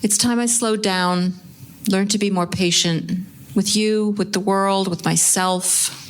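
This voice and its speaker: A woman acting a thoughtful, gently teasing wife in a live radio play, sincere and a little wry. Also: medium pitch, steady tone, slow, clean tone, close to an everyday voice. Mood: reflective.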